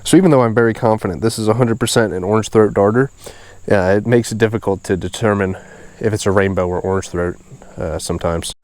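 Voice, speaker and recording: Male outdoor vlog host, lowish pitch, nasal and stuffed-up, casual enthusiast delivery. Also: fast talker, darkish tone. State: congested and sniffly. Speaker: host of a fishing video series. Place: outdoors beside a small creek.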